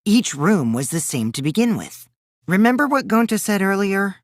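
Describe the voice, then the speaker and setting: A man's voice: sits high, big pitch swings, moderate pace, no echo, clean studio sound. A man voicing a mischievous, taunting teenage boy who lies with glee, in theatrical anime video game style. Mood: calm, reasoning. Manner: theatrical, swinging from gleeful mockery to cold remarks.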